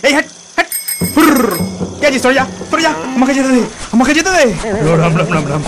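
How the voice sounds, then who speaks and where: A man voicing a superstitious, excitable village husband in a cartoon, exclaiming and repeating his words in fright. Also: very high-pitched, a little fast. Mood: panicked. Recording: music behind the voice. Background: music.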